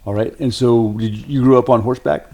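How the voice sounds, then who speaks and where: A man's voice: medium pitch, fairly even intonation, quick pace, mellow. Man hosting a casual interview. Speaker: podcast host interviewing a guest.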